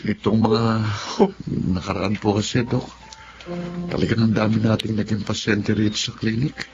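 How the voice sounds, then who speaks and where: A loyal male clinic assistant, played by an actor in a Filipino radio drama, earnest and respectful. His voice is on the low side, in dull sound with music behind it. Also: level tone, moderate pace, natural voice.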